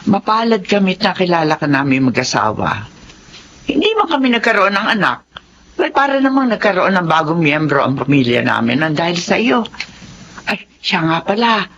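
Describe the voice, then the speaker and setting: A generous middle-aged lady boss in a radio serial. She gushes kindly with a bright, swooping voice. The recording is slightly dull. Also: fairly low pitch, moderate pace. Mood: appreciative, warm. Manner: effusive, animated. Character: kindly, generous older married lady employer. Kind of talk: radio drama dialogue. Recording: slightly dull, faintly noisy audio.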